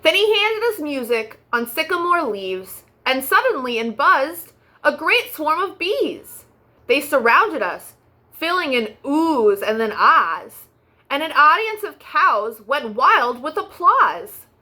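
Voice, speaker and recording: A woman hosting a children's storytime, reading a rhyming picture book aloud in an expressive, sing-song way. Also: sits high, takes it slowly.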